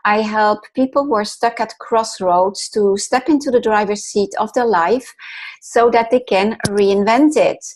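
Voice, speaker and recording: A woman's voice: medium pitch, measured pace, darkish tone. A woman hosting an interview show, reading an introduction and then encouraging her guest with short affirmations. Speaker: podcast host, mentor.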